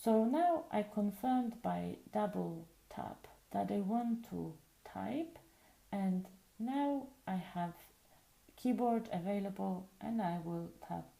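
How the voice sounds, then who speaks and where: A woman whose first language is Polish, calmly explaining phone functions step by step in a patient way. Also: medium pitch, slow pace, vocal fry, echoey room, low-quality recording. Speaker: tutorial presenter. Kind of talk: casual spoken tutorial. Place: indoors at a table.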